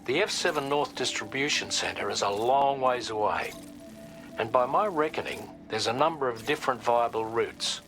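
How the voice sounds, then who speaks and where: A plain-spoken male advisor, voiced by an actor, delivers a video game mission briefing. His mid-pitched voice is bright and forceful, methodical and a little folksy, with faint music underneath. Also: normal speed, close to an everyday voice. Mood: firm, advisory.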